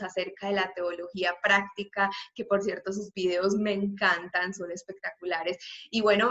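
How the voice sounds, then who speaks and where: A woman hosting an interview, introducing her guest with warm enthusiasm. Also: medium pitch, muffled recording. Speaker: interview host.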